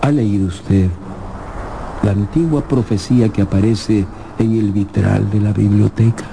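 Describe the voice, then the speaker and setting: An actor playing an old, sorrowful ghost who longs for death, solemn and pleading, in a radio drama. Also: male voice, lowish voice, fairly even intonation, creaky, noisy background. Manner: slow, solemn, grave delivery.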